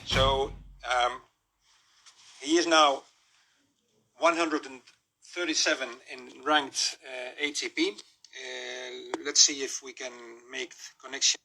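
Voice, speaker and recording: Sharp, forceful male interviewer, halting delivery. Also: mid-range voice, fairly even intonation, poor audio. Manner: halting, searching for words. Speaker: interviewer hosting a live stream. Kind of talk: casual live interview. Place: remote live video call.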